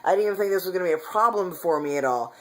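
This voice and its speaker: A man's voice: high-pitched, expressive, very fast, fairly bright tone. A man talking candidly in a casual personal vlog, rambling with frequent restarts and self-corrections. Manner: candid, confessional. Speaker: vlogger.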